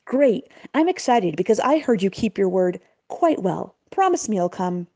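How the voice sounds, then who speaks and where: A woman voicing a friendly, persistent male travelling preacher in a storybook tale, with animated, courteous persuasion. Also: mid-range voice, moderate pace. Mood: cheery persuading.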